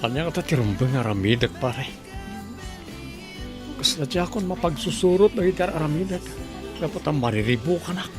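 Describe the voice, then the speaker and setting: A man's voice: a little high, lively intonation, fairly quick, long pauses, lightly acted. An actor playing a troubled, earnest village husband and father in an Ilocano radio drama, with an Ilocano accent. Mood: dejected. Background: music.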